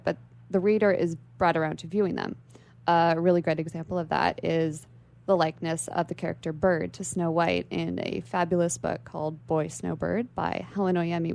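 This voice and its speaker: A woman's voice: fairly low pitch, vocal fry, a little mellow. A young woman as an interview guest, giving articulate, bookish explanations in long, carefully formed sentences. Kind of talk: prepared explanation in a radio interview. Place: radio station studio.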